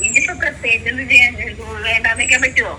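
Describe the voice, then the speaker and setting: A woman's voice: on the high side, steady tone, very fast. A woman with a Kerala Malayalam accent, talking casually and questioningly on a phone call. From Kerala, India. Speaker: relative receiving a prank call. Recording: phone call with clear background noise.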